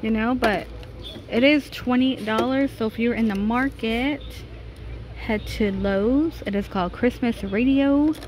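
A woman's voice: fairly high pitch, a little slow, a little mellow. A woman vlogger giving a chatty shopping walkthrough, laughing often, filmed on a handheld phone in a large store. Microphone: handheld phone camera. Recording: noisy store background.

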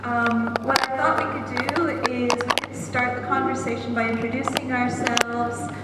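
A middle-aged woman with a Canadian accent, moderating a festival panel in a measured, friendly way, speaking into a handheld mic. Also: a little high, flat delivery, over loud music. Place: large echoing festival hall. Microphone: handheld mic, far camera. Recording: echoey hall, distant, background noise.

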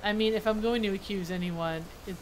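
A woman's voice: mid-range voice, fairly even intonation, fairly quick, slight background noise, low-quality recording. A woman giving casual, playful, colloquial commentary as she streams a video game.

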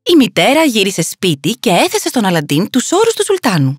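A woman's voice: mid-range voice, wildly swooping pitch, breathy edge, no room sound, studio quality, faint music behind. A woman narrating a scripted fairy tale for children.

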